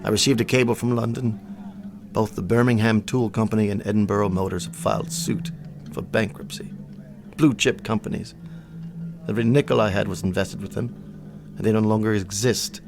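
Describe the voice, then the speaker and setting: A man playing a proud, aristocratic colonel in a radio drama, bitter and brought low, speaking in a formal, halting, pompous way. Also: steady tone, creaky. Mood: bitter, humbled. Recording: music playing under the voice.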